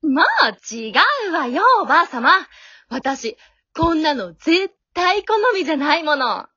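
Woman doing a bossy vain young girl, really high bright voice, swoops around, coy and haughty, radio drama. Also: big pitch swings, a little slow, dry recording, muffled recording, heavily acted. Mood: sweet, pushy. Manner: haughty, coy, pushy ladylike speech. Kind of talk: amateur radio drama acting.

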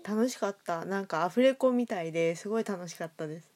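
A woman's voice: on the low side, quick pace, a little mellow. A woman hosting an audio drama show, chatting casually and chattily with a guest. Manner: chatty, frequent backchannels.

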